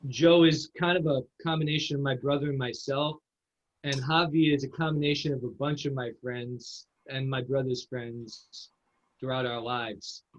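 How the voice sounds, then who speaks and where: A man, a screenwriter, talking casually and haltingly about his work. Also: mid-range voice, fairly even intonation, highs cut off. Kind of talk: casual explanation.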